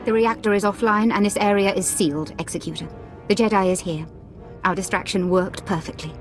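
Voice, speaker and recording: An actress playing a zealous, scornful Sith officer in a video game, with haughty, grandiose menace. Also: female voice, medium pitch, fairly quick, faint music behind, natural voice. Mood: reporting. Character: zealous Sith woman officer, scornful, devoted to her master. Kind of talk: dramatic video game villain acting.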